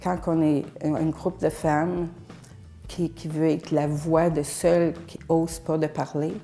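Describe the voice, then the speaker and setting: An older woman whose first language is English, from Alberta, giving an earnest, halting personal testimony as a feminist activist. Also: sits low, level tone, mellow, soft background music. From Alberta, Canada. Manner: earnest, halting with frequent pauses.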